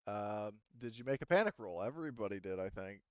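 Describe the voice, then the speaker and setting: Clear-voiced man running a tabletop game. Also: on the low side, expressive, fairly quick, a little mellow, dry recording. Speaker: game master. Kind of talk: casual talk running a tabletop roleplaying game. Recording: slightly dull, dry close microphone.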